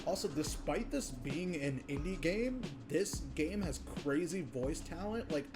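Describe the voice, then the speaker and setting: A man reviews a video game in a casual, conversational way. His voice sits a little high, and he is informal, amused and free with swearing. Music plays clearly behind him. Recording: music playing under the voice.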